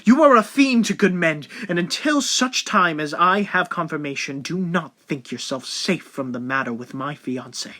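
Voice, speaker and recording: Man playing honorable period lieutenant, high-pitched, bright forceful tone, earnest, formal, declamatory, audio drama. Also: expressive, normal speed, few pauses. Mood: appalled, indignant. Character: upright, honorable, indignant military lieutenant. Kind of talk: stage-play style period audio drama acting.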